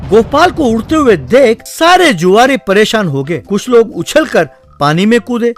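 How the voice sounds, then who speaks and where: A man narrates a scripted cartoon story. His voice is high-pitched and lively in its intonation, with faint music underneath. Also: measured pace.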